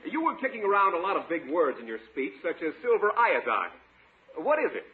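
An actor playing a sly, persistent heckler in a 1950s radio sitcom, pointed and mock-polite. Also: male voice, high voice, sing-song, a little fast, slight background noise. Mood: sly needling. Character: needling, persistent male heckler grilling officials. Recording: thin narrow-band old radio recording.

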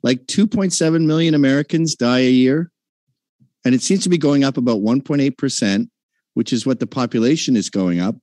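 A man's voice: mid-range voice, fairly quick, darkish tone, no room sound. A man arguing in a heated debate, combative and mocking, with frequent profanity.

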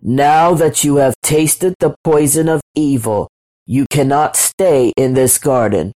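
A woman's voice: sits low, takes it slowly, warm tone. A woman voicing a majestic creator God from a storybook, solemn and commanding. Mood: stern, cold. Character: majestic God, a loving but stern creator.

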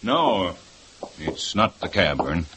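An actor playing a relentless police lieutenant, talking in a clipped, demanding way in a 1950s radio drama. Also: male voice, deep voice, huge pitch swings, takes it slowly, creaky, background noise, exaggerated voice. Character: hard-driving male police lieutenant, relentless.

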